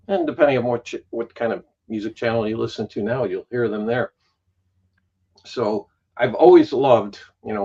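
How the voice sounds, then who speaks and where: A man's voice: mid-range voice, muffled recording. A man in his sixties, a guest on a livestream, telling stories at an easy pace with lots of "you know". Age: 60s. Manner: storytelling, unhurried, with many "you know" asides. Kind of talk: casual chat.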